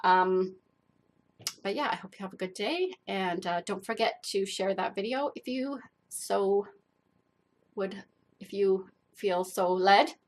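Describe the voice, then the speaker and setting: A woman's voice: mid-range voice, takes it slowly, pauses often. A woman hosting an art channel, talking casually to the camera with lots of fillers, stuffed up from a cold. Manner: chatty, rambling with many fillers.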